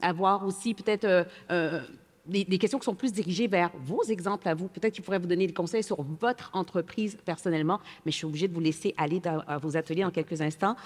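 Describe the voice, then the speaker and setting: Fast-talking woman moderator in a hall. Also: mid-range voice. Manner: brisk, hurried wrap-up. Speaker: panel moderator. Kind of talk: conference moderation. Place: large conference hall. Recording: hall reverb.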